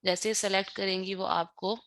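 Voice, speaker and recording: A woman giving a live software lesson, explaining step by step, often checking understanding and mixing in English terms. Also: mid-range voice, level tone, fairly quick, no echo, clean studio sound. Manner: mixes English terms and phrases into speech. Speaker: online tutorial instructor.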